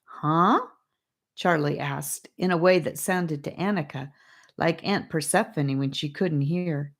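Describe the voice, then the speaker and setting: Lady reading a kids' fantasy book, low-ish voice, slow and lively. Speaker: audiobook narrator. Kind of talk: children's fantasy audiobook reading.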